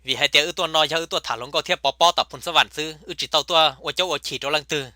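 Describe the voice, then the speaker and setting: Man acting a comic cartoon guy, piercingly bright, dry close sound, emphatic chatter. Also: on the high side, fast, dry recording. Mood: chatty.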